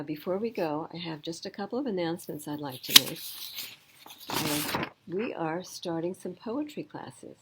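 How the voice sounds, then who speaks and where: Woman storytime reader: lower pitch, husky tone, measured and plain-spoken for young listeners. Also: a little mellow. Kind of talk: children's storytime narration and announcements.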